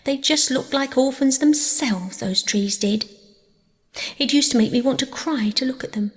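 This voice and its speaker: A woman's voice: high voice, moderate pace, voice pushed up, natural voice. Audiobook child role, performed by a woman: talkative, wordy, starry-eyed young orphan girl; long hall reverb. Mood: gushing, chatty. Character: chatty, dreamy, eager young orphan girl. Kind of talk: audiobook character voice.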